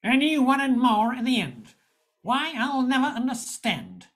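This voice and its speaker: A woman's voice: high-pitched, very animated, a little slow. A woman playing a wounded, wistful noir hero who narrates an amateur space radio play. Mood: hurt, alarmed.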